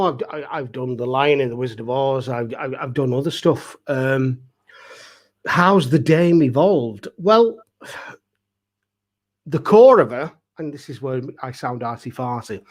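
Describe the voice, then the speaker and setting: A man's voice: medium pitch, animated, unhurried, a little mellow. A middle-aged man, telling anecdotes casually and self-deprecatingly as an interview guest. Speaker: pantomime dame performer, interview guest.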